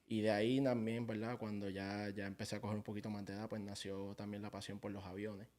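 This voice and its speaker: Low flat-voiced Puerto Rican man. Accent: Puerto Rican Spanish. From Puerto Rico. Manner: halting, many fillers and tag questions. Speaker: podcast guest. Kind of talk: casual interview talk.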